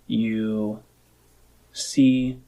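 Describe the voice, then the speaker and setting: Slow, low-voiced man as game master. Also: flat delivery, mellow, poor audio. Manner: deliberate, pausing between short phrases. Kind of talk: narrating a tabletop role-playing game.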